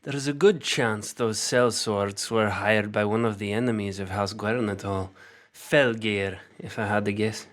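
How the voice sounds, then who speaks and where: A gruff mercenary with a low voice that stays steady even with a knife in play. He talks in clipped, measured lines. A man acts him out in an audio drama. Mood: calm. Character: gruff battle-hardened male sellsword.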